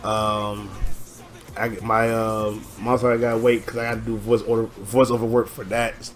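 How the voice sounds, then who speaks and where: A hoarse man hosting his own channel, rambling through a casual reaction video with many halting restarts. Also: fairly low pitch, little pitch movement, faint music behind. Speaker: channel host.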